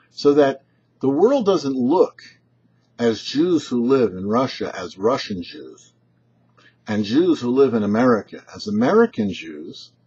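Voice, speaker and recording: Male rabbi, show host: markedly husky voice, animated pitch, didactic measured reading of religious commentary, slightly muffled lo-fi sound. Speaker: rabbi, host of a weekly Torah portion show. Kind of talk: short scripted religious commentary, read aloud. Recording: dull, lo-fi, muffled audio.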